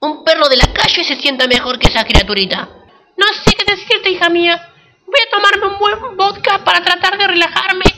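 A stern Argentine mother-in-law for a radio comedy. Her voice is very high and piercing, haughty and full of scorn. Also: lively intonation, normal speed, bright, forceful tone, exaggerated voice. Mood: dramatic disappointment. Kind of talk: comic radio serial acting.

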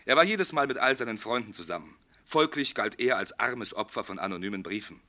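An actor playing a courteous, shrewd and self-assured police inspector in a German radio play. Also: male voice, lowish voice, animated, a little fast, pushed and bright. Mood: confident, explaining.

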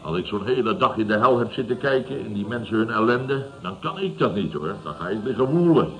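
A man's voice: mid-range voice, animated, a little fast, fairly bright tone, faint music behind, rough recording. An actor playing a pompous, scornful, self-satisfied contractor boss in a radio comedy, repeating himself and laughing at his own jokes. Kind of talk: radio comedy serial acting.

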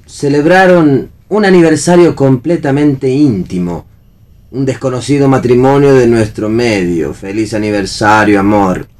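Actor as a wry, very animated Argentine husband. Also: male voice, medium pitch, close to an everyday voice. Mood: wry, affectionate. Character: drowsy, wry, affectionate young husband. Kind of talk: read-aloud stage play dialogue.

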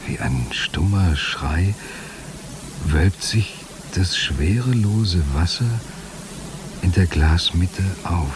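An entranced, extremely slow, low voice. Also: male voice. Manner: halting, hushed, trance-like. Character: entranced man watching time stand nearly still. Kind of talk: slow, poetic radio play monologue. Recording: clear hissing noise behind voice.

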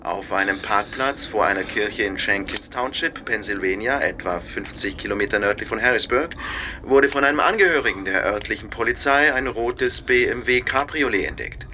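An actor playing a formal radio news reader delivering a measured, scripted bulletin in a radio drama. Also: male voice, on the low side, sharp tone, slight background noise. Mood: formal, factual.

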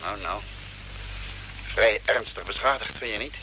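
A man's voice: fairly low pitch, a little slow. An actor playing an impatient, sceptical spaceship crewman in a radio drama, argumentative in manner. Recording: old radio play, clear vehicle noise.

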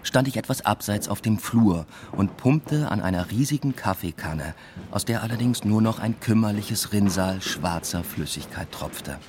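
Actor as low-voiced, weary academic narrator. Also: male voice, lively intonation, normal speed, a little mellow, slight background noise, close to an everyday voice. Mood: dry, observant. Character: weary, introspective male academic telling his own story. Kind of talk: scripted first-person radio drama narration.

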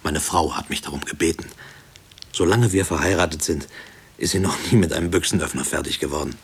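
An actor playing a brooding middle-aged husband haunted by wartime, narrating his thoughts in a measured, deliberate radio-play style. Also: a bass voice, vocal fry, slight background noise. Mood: reflective. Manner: measured, deliberate, matter-of-fact. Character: brooding married man haunted by wartime prison. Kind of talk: introspective first-person radio drama monologue.

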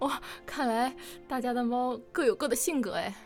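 Higher-voiced woman hosting a playful chat. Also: normal speed, darkish tone, soft background music. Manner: giggly, playful. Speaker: host. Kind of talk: casual interview chat.

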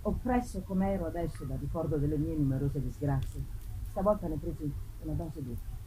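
A woman giving a measured, theatrical literary narration at a live performance. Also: low-pitched. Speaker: reader. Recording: clear background ambience noise.

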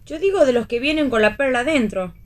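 Actress as wry radio-play oddball: informal, whimsical, slightly high-pitched female. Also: lively intonation, fast. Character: whimsical, wisecracking woman, stubborn and familiar. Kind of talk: read theatre for radio.

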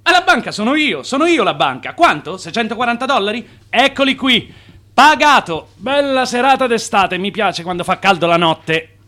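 Radio play: charming immigrant con man turned financier, high bright voice, self-assured, speechifying. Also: animated, moderate pace. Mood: proud, expansive. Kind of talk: radio drama acting.

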